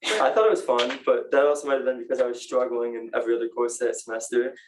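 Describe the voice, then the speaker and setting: A young man in his twenties gives a casual student presentation, drifting loosely from point to point with plenty of fillers. His voice sits in an ordinary male middle pitch. A faint hiss runs underneath the recording. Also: fast, poor audio. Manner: relaxed, rambling, many fillers.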